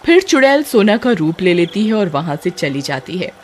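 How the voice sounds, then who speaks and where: A woman's voice: fairly low pitch, very animated, moderate pace, darkish tone, slight background noise. A woman storyteller giving scripted narration of a horror tale.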